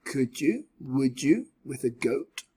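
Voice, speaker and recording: Man playing an eager, pestering little storybook creature, lively. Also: mid-range voice, slow, husky, full character voice. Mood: persistent.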